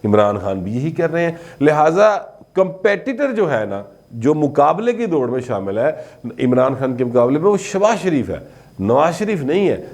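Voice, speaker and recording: A man's voice: a little high, big pitch swings, moderate pace, a little mellow. Pakistani Punjabi man giving informal political commentary. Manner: conversational, slipping between Urdu and Punjabi.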